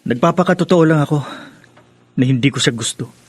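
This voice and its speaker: A man's voice: on the high side, a little fast, slightly rough, dry recording. A man playing a spiteful, scornful young adult son in a Filipino radio drama. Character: spiteful, scornful grown son resenting his stepmother.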